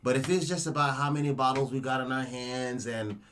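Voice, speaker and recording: A man's voice: medium pitch, fairly even intonation, fairly quick, a bit bright, a little hiss or hum. A young man from Cameroon, a guest on an interview show, telling a story in a loose, rambling way, often with "you know" and "right?". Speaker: interview guest, media creator. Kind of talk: casual storytelling chat.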